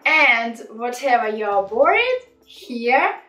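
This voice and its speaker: A young woman with a Russian accent, teaching beginners graphic design software in friendly, simple English with small slips, from a small home office. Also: a little high, takes it slowly, roomy sound, recorded from a distance, soft background music.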